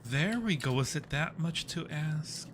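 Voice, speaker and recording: A man's voice: a little high, animated, measured pace, a bit bright. A man hosting a livestream, giving casual, jokey commentary as he plays a horror game. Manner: jokey, exclaims and yelps when startled. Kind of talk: casual live gameplay commentary. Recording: clear background noise on a livestream.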